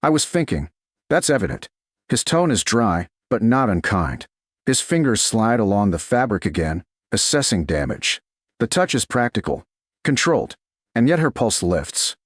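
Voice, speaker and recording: A man narrating a scripted romance story in a slow, dramatic storytelling style. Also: on the low side, big pitch swings, no echo, clean studio sound. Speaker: audiobook narrator.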